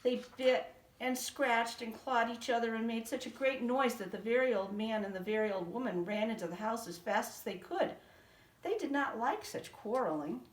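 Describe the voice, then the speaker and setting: A woman reading a children's picture book aloud in a sing-song, expressive way. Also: fairly high pitch, normal speed. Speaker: storybook reader. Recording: roomy echo, from a distance.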